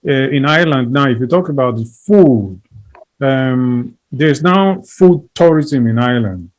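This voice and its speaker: Man, interview guest, earnest, emphatic, repetitive list-building, middle pitch. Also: expressive, measured pace. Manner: emphatic, repeats phrases, builds lists. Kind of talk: earnest conversational advocacy.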